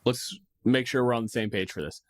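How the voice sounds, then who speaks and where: A man's voice: medium pitch, brisk, no echo, clean studio sound. A man co-hosting a podcast in casual discussion of tabletop games, opinionated and freely swearing. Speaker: podcast co-host.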